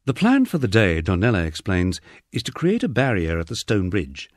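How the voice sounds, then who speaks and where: A man's voice: lowish voice, huge pitch swings, normal speed, no room sound, studio quality. A man narrating a scripted first-person memoir as an audiobook, in a wry storytelling manner.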